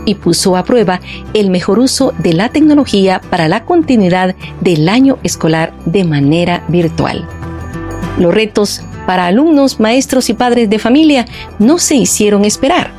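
A woman's voice: mid-range voice, expressive, moderate pace, a little mellow, soft background music. A woman hosting a podcast, reading a scripted introduction in a formal, ceremonious way. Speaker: podcast host.

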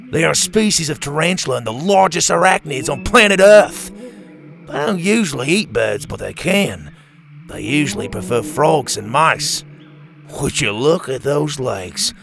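A man voicing an excitable adult male dinosaur expert who lectures eagerly about spiders. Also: a little high, creaky, voice pushed up, heavily acted. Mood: excited lecturing. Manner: enthusiastic, lecturing.

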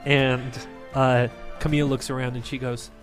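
A young man narrating a tabletop game as game master, telling the story with animated, laughing delivery. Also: medium pitch, moderate pace, soft background music.

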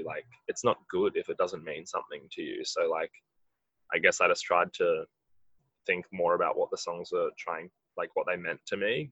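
A musician with a husky voice, answering interview questions in a rambling way, full of "like". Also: male voice, deep voice, a bit bright, no echo, dull audio. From Australia. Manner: rambling, heavy use of "like". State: husky from late rehearsal. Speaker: musician in a band.

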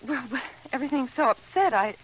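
A woman's voice: high voice, animated. A flustered mother, swooping hesitant voice. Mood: flustered, polite. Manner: hesitant, halting, pleading. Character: polite, flustered, grieving middle-aged mother and housewife. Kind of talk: old-time radio drama acting. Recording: faintly noisy vintage radio recording.

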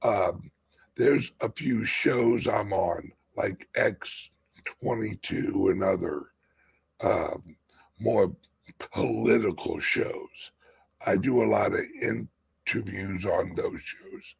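A man with a raspy voice co-hosting a casual livestream chat, talking slowly and rambling. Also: lowish voice. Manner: slow, rambling, drawn-out talk. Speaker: co-host.

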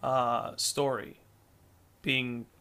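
Bright-voiced man, probing podcast host. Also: mid-range voice, level tone, very slow, smooth. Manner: probing, playing devil's advocate. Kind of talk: casual interview.

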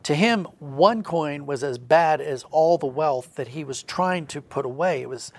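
Male podcast guest, slightly high and gravelly voice, reflective and earnest, conversational religious discussion. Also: measured pace. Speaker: audio drama writer discussing his work.

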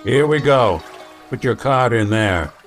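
An actor playing a world-weary, sardonic detective in a post-apocalyptic radio mystery, with a dry, hard-boiled delivery. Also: male voice, medium pitch, expressive, a little slow, soft background music, lightly acted. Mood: brisk, curt. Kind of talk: old-time radio detective drama acting.

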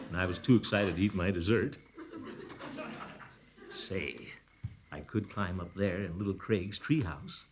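A man's voice: low-pitched, noisy background. An actor playing a pompous, blustering middle-aged bachelor uncle in a 1940s radio comedy, chuckling to himself as he talks. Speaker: comic lead in a radio sitcom.

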